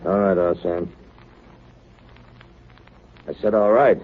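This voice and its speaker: An actor playing a touchy, sarcastic member of an Arctic expedition, sour in manner, in a 1930s radio drama. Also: male voice, sits low, fairly even intonation, slow pace. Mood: irritated, sardonic. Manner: sour, sardonic. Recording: tinny, noisy 1938 radio broadcast.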